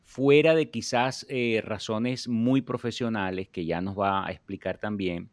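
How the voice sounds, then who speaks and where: Low-voiced hesitant male host. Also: a little slow, dry recording. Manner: hesitant, many fillers and restarts. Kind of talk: casual interview. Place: home in the US, dry room.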